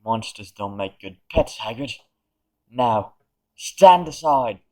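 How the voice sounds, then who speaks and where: A man's voice: lowish voice, expressive, takes it slowly, darkish tone. A man playing a polite, cold, controlled teenage wizard in an audio drama, speaking formally. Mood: stern, cold.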